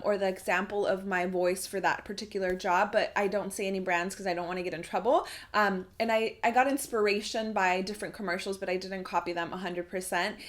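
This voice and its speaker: A young adult woman talking in a chatty, explanatory way, like a casual tutorial vlog from a video creator. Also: mid-range voice.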